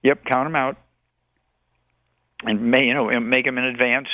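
A man's voice: mid-range voice, little pitch movement, moderate pace, pushed and bright, dry recording. A man in his eighties answering questions as a guest on a radio call-in show, rambling and repeating himself in lists. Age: 80s. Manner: rambling, list-like repetition. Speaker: guest health supplement promoter. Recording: narrow phone-like line.

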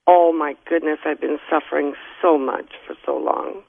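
A hoarse woman calling in to a radio show, talking in a slow, halting and strained way. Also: low voice, fairly even intonation, no echo. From North Dakota. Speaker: caller. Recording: phone line.

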